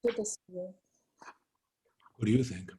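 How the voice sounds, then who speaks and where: A man's voice: drawn-out words, noisy background. A man voicing a sardonic, dangerous, unrepentant prisoner in a drama read, dripping with sarcasm. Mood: sarcastic. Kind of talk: scripted drama table read.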